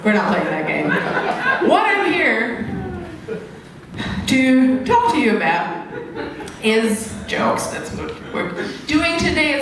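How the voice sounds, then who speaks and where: A woman from Hungary with a Hungarian accent doing live stand-up in a halting, self-interrupting way. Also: a little high. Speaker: stand-up comedian. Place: comedy club stage before an audience. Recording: echoey live venue, distant mic, noisy.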